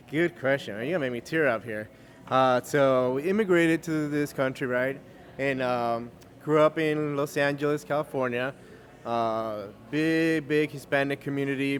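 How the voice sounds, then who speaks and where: Cuban-born guest, Spanish first language, unaccented English, relaxed rambling storyteller. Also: male voice, medium pitch, a little hiss or hum. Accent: American English, no Cuban accent. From Cuba. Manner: relaxed storyteller, many fillers and restarts. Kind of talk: casual interview chat.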